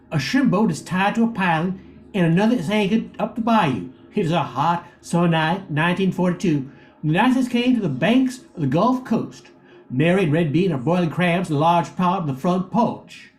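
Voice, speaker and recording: A man's voice: sits high, a little mellow. A man giving scripted narration as the narrator of a radio play. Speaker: professor and narrator.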